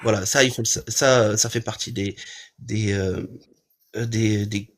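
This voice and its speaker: A man hosting a live stream, calmly arguing a point with many hesitations and restarts. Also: lowish voice, a little slow. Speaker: livestream host. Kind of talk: casual debate on a live stream.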